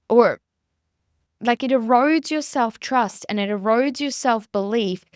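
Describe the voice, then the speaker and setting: A woman's voice: mid-range voice, no echo. An Australian woman in her thirties talks straight into a close mic, chatty and earnest. She restarts her sentences often and leans on fillers. The sound is dry, with no room around her voice. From rural South Australia. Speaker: podcast host and business coach. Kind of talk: casual solo podcast monologue.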